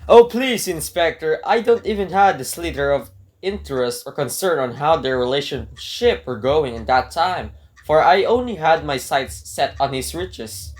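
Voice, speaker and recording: A man's voice: fairly high pitch, close to an everyday voice. A man playing a desperate jailed burglar in a radio drama, earnest and theatrical, with formal old-fashioned phrasing. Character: desperate jailed male burglar pleading his innocence. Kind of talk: dramatic radio play monologue and narration.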